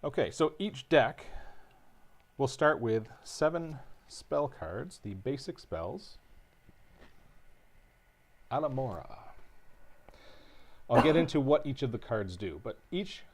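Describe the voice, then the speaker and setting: Relaxed man explaining game rules. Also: medium pitch, takes it slowly, long pauses, slight background noise. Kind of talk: casual livestream rules teaching.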